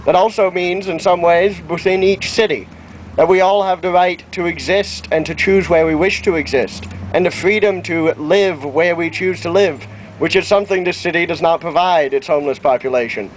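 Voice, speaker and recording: High-voiced man speaking haltingly in public. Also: low-quality recording. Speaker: public speaker at a rights event. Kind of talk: paraphrasing a document with advocacy. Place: outdoor town plaza. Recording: outdoor recording with faint street noise.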